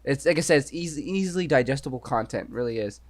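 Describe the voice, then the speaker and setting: A young man co-hosts a casual podcast chat in a middle-pitched voice. He rambles loosely and keeps checking in with "you know what I mean" as he talks. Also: expressive, fast talker. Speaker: podcast co-host.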